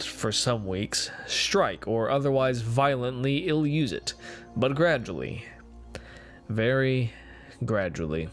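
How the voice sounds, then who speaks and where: A man performing a dramatic gothic horror reading as a guilt-ridden, morbid narrator, with formal, deliberate, theatrical phrasing. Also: lowish voice. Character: guilt-ridden, morbid male narrator confessing his cruelty. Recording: music playing behind the voice. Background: music.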